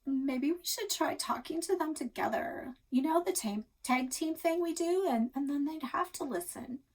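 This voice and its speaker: A woman's voice: high voice, moderate pace, distant mic, pitched above the performer's own voice. A woman voicing an upset young sister in a storybook, sulky and plaintive. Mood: pleading.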